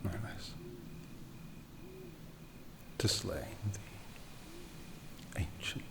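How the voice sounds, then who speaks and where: A man voicing an ancient, aristocratic vampire lord in a breathy half-whisper for an ASMR roleplay, menacing, world-weary and condescending, with deliberate theatrical phrasing. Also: fairly low pitch, huge pitch swings, exaggerated voice. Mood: condescending, threatening.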